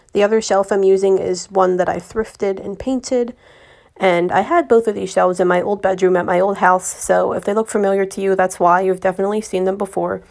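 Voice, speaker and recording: A young woman with a slightly raspy voice from a recent cold, chatting casually to viewers as she narrates her decorating vlog. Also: medium pitch, darkish tone. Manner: chatty, friendly, addresses viewers directly. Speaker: home decor vlogger.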